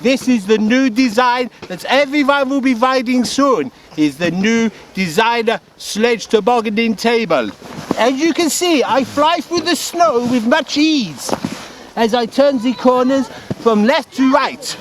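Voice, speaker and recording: A man's voice: extremely high pitch, lively intonation, measured pace. A man playing a giggly, boastful Norwegian would-be tobogganist, speaking broken English in a put-on foreign accent outdoors. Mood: excited. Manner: boastful and earnest. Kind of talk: improvised comedy sketch. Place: outdoors on a snowy slope. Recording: noisy outdoor recording, traffic nearby.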